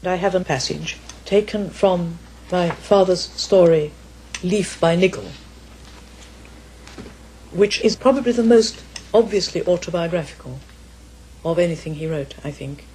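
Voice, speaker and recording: A woman's voice: lowish voice, measured pace, pauses often, darkish tone, a little hiss or hum, low-quality recording. An older woman reminiscing in an interview, careful, articulate and formal. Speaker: author's daughter, interviewee. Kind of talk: reflective interview.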